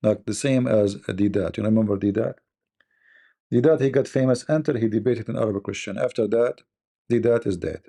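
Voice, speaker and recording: A man's voice: lowish voice, normal speed, gravelly, dark tone. A man with an Arabic accent, speaking as host of a live debate stream in a blunt, scornful manner.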